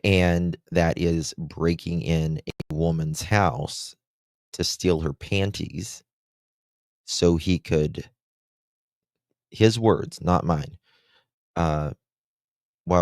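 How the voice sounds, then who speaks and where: A man hosting a true-crime livestream in casual chat, with a deep voice and a slow, halting way of talking full of fillers. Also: fairly even intonation, darkish tone, no room sound, clean studio sound. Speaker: livestream host.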